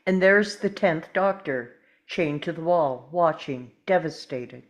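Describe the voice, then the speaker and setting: A woman's voice: deep voice, slow, lo-fi sound. A woman narrating screen directions from a script in the present tense at a table read. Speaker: stage directions reader.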